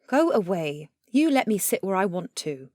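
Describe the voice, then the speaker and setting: Woman acting cheeky bold tavern girl in an audio play, forward, medium pitch, super dry sound. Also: big pitch swings, moderate pace, darkish tone, no room sound, lightly acted. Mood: brusque. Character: bold, brazen young tavern coquette.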